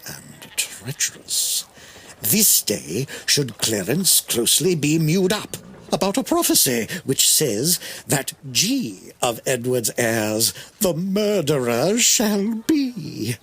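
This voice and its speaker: A deformed, plotting nobleman, bitter and theatrical. His mid-pitched voice moves slowly and deliberately with very dramatic swings. Faint noise sits behind the Shakespearean verse. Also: a bit bright, full character voice. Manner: deliberate, wide swings from outburst to murmur.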